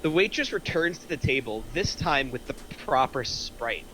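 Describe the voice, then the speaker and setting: A man reads screenplay stage directions as narrator. His voice is medium in pitch and notably bright, with faint noise in the recording. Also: expressive, normal speed, low-quality recording. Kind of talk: scripted narration at a table reading.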